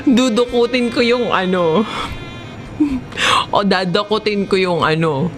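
A woman with a Filipino accent narrating a comedic first-person story in an expressive, giggly, conversational way. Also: medium pitch, slow pace, faint music behind.

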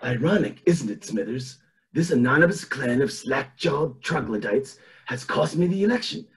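Man doing a raspy, pompous old tycoon. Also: medium pitch, lively intonation, normal speed. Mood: bitter, menacing. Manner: pompous, theatrical. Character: scheming old male tycoon running for governor. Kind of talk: amateur cartoon table read. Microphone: video call.

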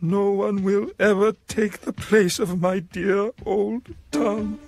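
A man voicing a pompous, ill-tempered magistrate in an animated cartoon, with theatrical delivery. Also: high voice, slow pace, no room sound, heavily acted. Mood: mournful, petulant. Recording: prominent music under the dialogue.

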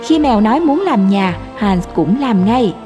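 A woman reading scripted narration for an animated fairy tale. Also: medium pitch, normal speed, music in the background.

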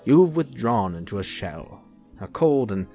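A man acts a serene angel passing as a gentleman in an audio drama. He speaks in a fairly low voice, calm and assured, gently persuasive, over soft music. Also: a little slow, vocal fry, exaggerated voice. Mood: gently firm.

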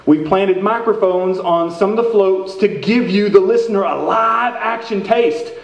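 A man's voice: high voice, measured pace, creaky. An older man playing a folksy small-town radio station host in a live radio play, with showy announcer patter. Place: theater stage with stand microphone. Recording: echoey stage hall, dull sound.

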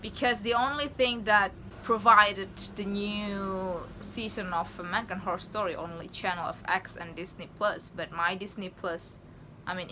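A woman's voice: on the low side, noisy background. A young woman hosting a reaction video from her bedroom, chatting in casual non-native English. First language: not English. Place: small bedroom with posters.

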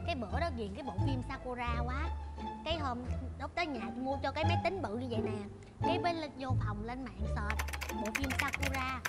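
A woman in her twenties with a southern Vietnamese accent, telling stories in casual, excitable and expressive chat. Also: high-pitched, brisk, over loud music. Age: 20s. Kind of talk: casual animated chat, reminiscing. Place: indoor talk show set styled as an old shop.

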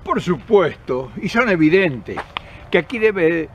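Older argentine guy ranting to the camera outside, pitch jumps all over, faint outdoor noise. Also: big pitch swings, takes it slowly, dull audio. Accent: Argentine Spanish. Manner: earnest, emphatic, many restarts and repeated words, gesturing with hands. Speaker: political commentator. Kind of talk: impassioned direct-to-camera commentary. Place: outdoors in countryside by forest path. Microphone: camera at close range.

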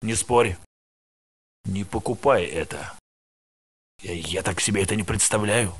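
An actor voicing a tired male security guard in a research facility in a video game dub, with a gravelly, matter-of-fact delivery. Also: fairly low pitch, measured pace. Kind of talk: game voice lines, Russian dub.

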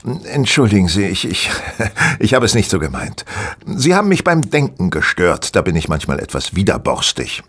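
A man playing a brilliant, self-assured gentleman detective in a German audio drama, explaining things deliberately with casual superiority. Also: low voice, big pitch swings, slightly rough, full character voice. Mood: lecturing, self-assured.

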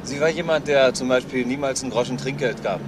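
An actor playing an earnest junior police detective who reports diligently and haltingly in a German crime radio play. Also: male voice, mid-range voice, noisy background, lightly acted.

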